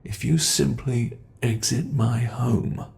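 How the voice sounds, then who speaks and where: A British man playing a gravelly ancient monster. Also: mid-range voice. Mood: teasing. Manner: slow, soft-spoken, teasing menace. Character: ancient male monster, possessive, mockingly polite. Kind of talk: ASMR horror roleplay.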